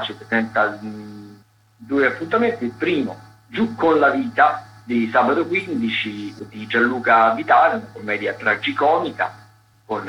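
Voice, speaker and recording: A man with a bright, forceful voice runs through a radio agenda of cultural events. He speaks conversationally at a middle pitch, often halting to correct himself or add an aside. Faint music plays behind him. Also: low-quality recording. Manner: halting, digressive delivery with self-corrections. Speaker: radio culture critic presenting a theatre agenda. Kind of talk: chatty live listings commentary.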